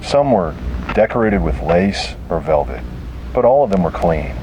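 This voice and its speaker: Low-voiced man narrating horror over noise. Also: big pitch swings, mellow, lo-fi sound. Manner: slow, deliberate, dramatic pauses.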